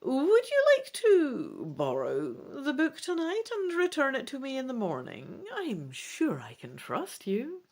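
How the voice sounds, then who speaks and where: Woman playing old woman shopkeeper, fantasy storybook, slow delivery, lively swooping pitch, cryptic, self-assured. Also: heavily acted. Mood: mysterious, assured. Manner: cryptic, theatrical. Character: mysterious, confident old woman selling magic wares. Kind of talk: dramatic gamebook reading.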